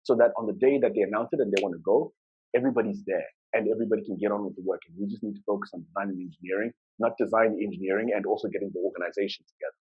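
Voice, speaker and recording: Man from Zimbabwe, Shona native speaker, rapid speech, lowish voice, muffled recording. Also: fairly even intonation, slightly rough. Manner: conversational, with filler words and restarts. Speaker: conference speaker. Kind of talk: conference talk.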